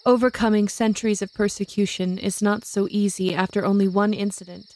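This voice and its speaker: Woman as a dignified, wise witch, mid-pitched. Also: fairly even intonation, darkish tone, natural voice. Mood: measured, wise. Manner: formal, measured phrasing. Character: wise, dignified elder-sisterly witch lady.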